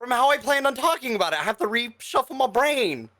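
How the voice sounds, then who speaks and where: A podcast co-host chatting casually about films, halting and easily flustered. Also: mid-range voice, big pitch swings, bright, forceful tone. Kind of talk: casual chat reviewing films on a livestream podcast.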